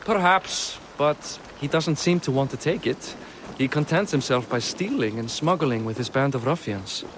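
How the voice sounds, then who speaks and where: A man's voice: mid-range voice, lively intonation, moderate pace, noisy background, natural voice. An actor playing an aging Viking king in a video game, jovial, self-deprecating and anxious, with old-fashioned folksy phrasing. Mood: worried. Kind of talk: cinematic video game acting.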